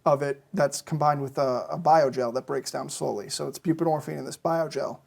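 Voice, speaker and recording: A man's voice: fairly high pitch, steady tone, brisk, gravelly, darkish tone, breathy edge. A young man from Massachusetts, a medical student answering interview questions with careful, hesitant explanations. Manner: explanatory, hesitant with frequent ums.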